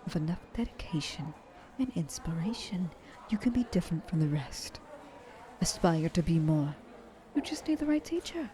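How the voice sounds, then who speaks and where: Low, mellow flirtatious vampire woman acted by a woman. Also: sing-song, moderate pace. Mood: encouraging, then flirtatious, teasing. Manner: coaxing, intimate, dropping often into breathy whispers. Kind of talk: ASMR roleplay monologue to the listener.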